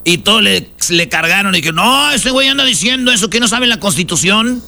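A man with a Mexican accent hosting a casual show, bantering and commenting in animated street slang. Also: high-pitched, fairly quick. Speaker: radio show host.

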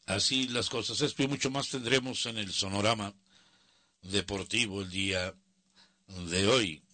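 Mexican guy on sports radio, bright punchy voice, real dry studio sound, chatty n grumbly asides. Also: on the low side, fairly even intonation, unhurried, dry recording. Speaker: radio sports show host. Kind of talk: casual opinionated sports commentary.

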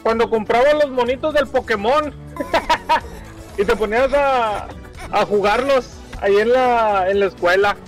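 A man leaving an excited, friendly voice message congratulating a radio host. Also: very high voice, unhurried, soft background music, low-quality recording. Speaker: listener sending a voice message. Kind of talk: casual voice message.

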